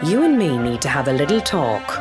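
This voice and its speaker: A woman's voice: low-pitched, big pitch swings, a little fast, loud music behind the voice. An actress playing an affectionate, fussing middle-aged village mother in a video game, with a homely, chatty manner. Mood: hesitant. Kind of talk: video game cutscene acting.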